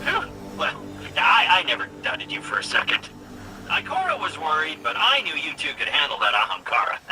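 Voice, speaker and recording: A flippant cocky scout. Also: male voice, fairly high pitch, huge pitch swings, moderate pace, loud music behind the voice, low-quality recording. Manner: flippant, laughing. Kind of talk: video game dialogue.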